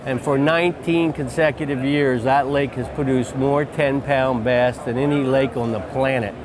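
A man's voice: mid-range voice, fairly even intonation, normal speed, background noise. A man in his forties, a fishing lodge outfitter, telling his story in an enthusiastic, repetitive way during an interview at a trade-show booth, on a lapel mic. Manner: enthusiastic, storytelling, repeats phrases. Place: busy convention hall booth, indoors. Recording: crowd chatter behind.

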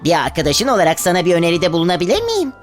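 A woman voicing a kind, wise ant in a children's animated fairy tale, speaking in an earnest, reassuring way. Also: on the low side, rapid-fire, soft background music. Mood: gently advising. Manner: earnest, reassuring, gently instructive. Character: kind, caring, wise ant giving friendly advice.

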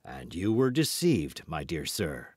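A man voicing a haughty young musketeer in an audiobook, measured and coldly polite, with a mild but biting manner. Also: on the low side, big pitch swings, darkish tone, dry recording, studio quality. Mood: cold, cutting. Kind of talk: audiobook character dialogue.

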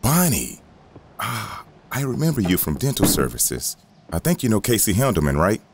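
A man playing a somber, courteous gentleman in audio drama dialogue. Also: on the low side, sing-song, normal speed, background noise.

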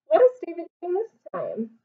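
A woman in her twenties reading aloud to young children like a teacher, asking them simple questions in an animated way. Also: extremely high pitch, moderate pace. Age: 20s. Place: small home room, indoors. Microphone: webcam or laptop mic at arm's length. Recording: dull webcam sound, distant mic.

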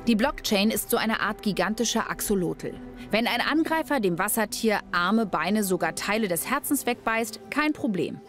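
A woman's voice: mid-range voice, lively intonation, moderate pace, a bit bright, soft background music. A woman reading scripted narration for a documentary.

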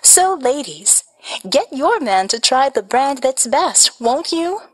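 A woman's voice: high voice, sing-song, takes it slowly, full character voice. A woman playing a glamorous female movie star appearing on an old-time radio show. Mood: gushing. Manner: polished, glamorous. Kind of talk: 1940s radio acting.

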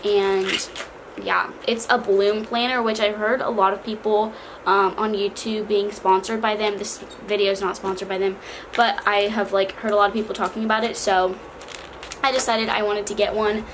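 A teenage girl vlogging from her bedroom, chatty and bubbly with lots of fillers, her voice a little hoarse, a fan running behind her. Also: medium pitch, little pitch movement, moderate pace, low-quality recording. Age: teens. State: slightly hoarse from cheer camp. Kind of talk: casual backpack vlog. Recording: faint fan noise behind.

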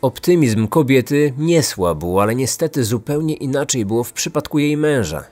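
A man's voice: mid-range voice, expressive, moderate pace, darkish tone. A man narrating a scripted true-crime podcast as its host. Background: faint music.

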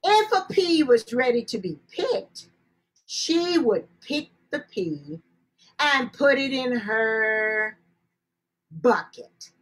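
A middle-aged woman telling children's folktales in a theatrical, playful way, with dramatic pauses and repeated phrases. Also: on the high side, sing-song, poor audio. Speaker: storyteller. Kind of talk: animated children's folktale storytelling with sung refrains. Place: indoors, video call.

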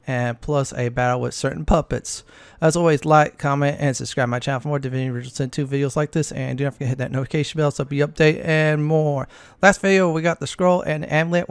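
A man's voice: fairly high pitch, smooth. A man hosting a gaming channel, giving casual walkthrough commentary and explaining things in short, plain phrases.

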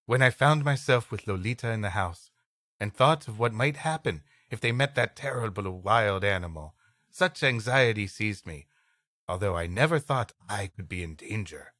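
A man's voice: fairly low pitch, expressive, normal speed, sharp tone, dry recording. A man co-hosting a comedy podcast, moving between reading a story aloud and casual, joking banter.

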